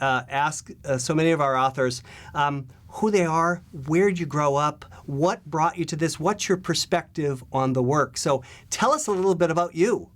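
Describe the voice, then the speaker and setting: A man's voice: fairly high pitch, a bit bright. A man hosting an interview, asking long enthusiastic questions with many fillers. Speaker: host. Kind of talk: interview host.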